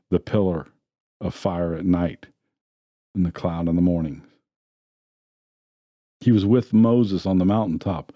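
A man preaching a sermon in a church, retelling scripture stories in a plain, steady way. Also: low voice, dry recording. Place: church sanctuary.

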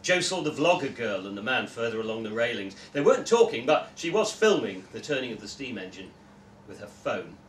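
A man's voice: mid-range voice, sing-song, brisk, a bit bright. Young man reading a story, echoey room. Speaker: story reader. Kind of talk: expressive live short-story reading. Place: small indoor festival room with audience. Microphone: camera a few metres away. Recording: distant, echoey room with background noise.

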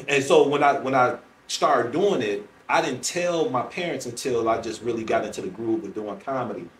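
A man's voice: mid-range voice, moderate pace. Middle-aged American man storytelling, roomy distant sound. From Chicago, United States. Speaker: stand-up comedian, podcast guest. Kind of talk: conversational storytelling in an interview. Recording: roomy, distant mic, faint noise.

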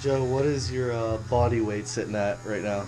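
A man's voice: mid-range voice, steady tone, normal speed. A young man hosting a casual vlog in a small bathroom, joking and reading out fan questions. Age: young adult. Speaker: vlog host.

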